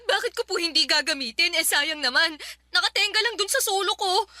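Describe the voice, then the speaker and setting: A woman's voice: very high voice, a little fast, bright, forceful tone, no echo, exaggerated voice. A woman playing a defiant, whiny young Filipino wife who complains and talks back in a radio drama. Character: defiant young pregnant live-in partner, fed up, whiny.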